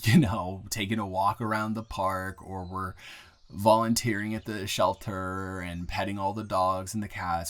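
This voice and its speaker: A young man acting an earnest, smitten guy new in town in an improvised audio roleplay, speaking intimately and haltingly with repeated words. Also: low voice, fairly bright tone. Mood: fond, sincere. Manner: halting, rambling, with repeated words and nervous chuckles.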